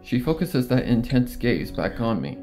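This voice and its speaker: A man's voice: mid-range voice, sing-song, normal speed. A man reading a scripted story as a dramatic narrator, acting out the dialogue as he goes. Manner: acts out character dialogue. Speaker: fan fiction narrator. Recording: music behind the voice. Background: music.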